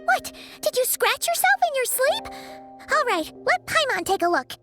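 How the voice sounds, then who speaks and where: A woman voicing an excitable, chatty little fairy companion in a game who refers to herself in the third person. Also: extremely high pitch, moderate pace. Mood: chirpy. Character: tiny floating female fairy companion, chirpy. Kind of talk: game dialogue. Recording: game audio with music behind.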